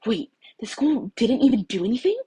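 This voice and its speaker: A woman's voice: fairly high pitch, big pitch swings, normal speed, warm tone, breathy edge, pitched above the performer's own voice, heavily acted. A woman voicing a fierce, indignant teenage schoolgirl in a story, confrontational and incredulous.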